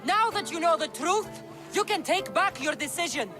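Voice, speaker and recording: A woman's voice: very high-pitched, expressive, normal speed. A woman playing a plain-spoken ancient Greek heroine in video game dialogue, direct, terse and skeptical. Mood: accusing. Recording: music under the voice.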